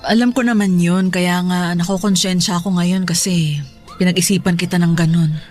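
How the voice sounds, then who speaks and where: A woman playing a gentle, supportive young mother in a Filipino radio drama, with a hesitant manner. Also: fairly low pitch, fairly even intonation, moderate pace, faint music behind, natural voice. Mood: guilty, worried.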